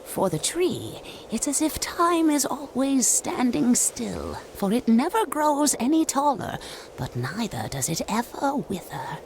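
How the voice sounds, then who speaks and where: A cryptic old fortune-teller woman, talks slowly with a gravelly, hushed voice. Her pitch rises and dips a lot as she delivers solemn words. Faint noise sits under the game audio. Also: wildly swooping pitch, exaggerated voice, rough recording. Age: older. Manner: slow, measured, mysterious. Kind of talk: video game cutscene dubbing.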